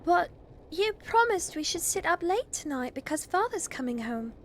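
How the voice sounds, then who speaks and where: A woman's voice: very high-pitched, big pitch swings, moderate pace, a little hiss or hum, voice pushed up. A woman voicing an eager, earnest young girl in a storybook reading. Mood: expectant.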